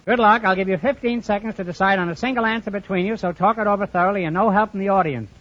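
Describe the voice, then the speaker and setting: Middle-aged man, American accent, jovial radio quiz host, higher voice, teasing, muffled vintage recording. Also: fast, fairly bright tone. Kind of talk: 1940s radio quiz show hosting, bantering with contestants.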